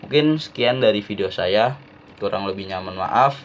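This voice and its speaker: Young man, from Jakarta, lowish pitch, relaxed and slangy, faint background hiss. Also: normal speed, highs cut off. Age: young adult. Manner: relaxed slangy, frequent fillers. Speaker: university student. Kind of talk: casual class assignment talk.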